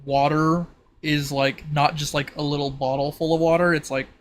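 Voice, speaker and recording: A man's voice: a little high, little pitch movement, moderate pace, a little hiss or hum. A man casually explaining game rules in an informal, rambling way, as if thinking aloud. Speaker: tabletop roleplay participant explaining game rules.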